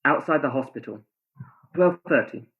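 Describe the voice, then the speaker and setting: An actor playing a tender, ardent, pleading lover in a radio play, earnest and romantic in delivery. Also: male voice, medium pitch, lively intonation, normal speed, close to an everyday voice. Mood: earnest, gentle. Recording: thin phone-like sound.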